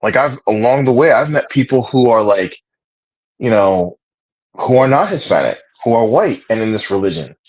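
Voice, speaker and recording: A man's voice: mid-range voice, lively intonation, normal speed, a little mellow, no echo, lo-fi sound. A man hosting a casual conversation, talking in a rambling way with many fillers and restarts. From Puerto Rican heritage, raised speaking English. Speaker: podcast host.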